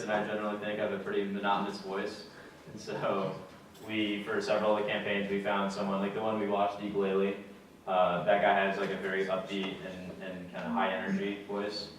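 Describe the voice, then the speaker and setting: A young man giving a casual talk to a student audience, relaxed and plain-spoken with many fillers. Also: on the low side, a little hiss or hum. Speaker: guest speaker. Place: lecture room with audience. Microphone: far camera. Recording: echoey room from far off.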